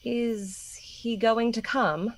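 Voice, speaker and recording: A woman's voice: on the high side, fairly even intonation, extremely slow, full character voice. A woman acting a pert, outspoken lady's maid in a radio play, indignant and teasing. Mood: impatient. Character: pert, outspoken, loyal maidservant, scolding and mocking.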